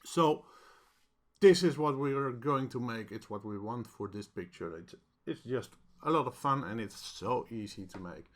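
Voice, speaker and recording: A man hosts a casual software tutorial, talking in a hesitant, halting way as he thinks aloud. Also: mid-range voice, expressive. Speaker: tutorial host.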